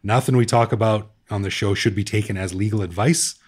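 Casual podcast host, North American man, lower voice, easygoing and jokey. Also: a little fast, breathy edge. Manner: relaxed, joking, laughs often. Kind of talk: casual podcast chat and interview.

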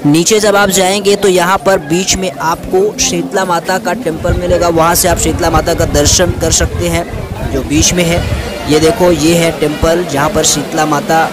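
A man's voice: a little high, little pitch movement, normal speed. A young man narrating a travel vlog while walking a crowded outdoor trail, chatty and often addressing viewers as guys, filmed at arm's length. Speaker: travel vlogger. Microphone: handheld camera or phone at arm's length. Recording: loud crowd and outdoor noise. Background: crowd chatter and outdoor noise.

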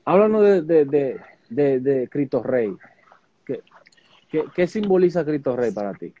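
A man's voice: on the high side, lively intonation, a little slow. A young man interviewing a guest over a video call, friendly and often repeating words as he starts questions. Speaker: interviewer, host. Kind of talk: casual interview. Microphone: webcam, video call. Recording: dull lo-fi video call audio.

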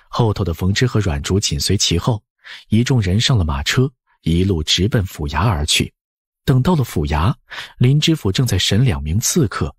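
A man's voice: on the low side, husky, no echo, studio quality. A man narrating a novel as an audiobook, scripted and dramatic.

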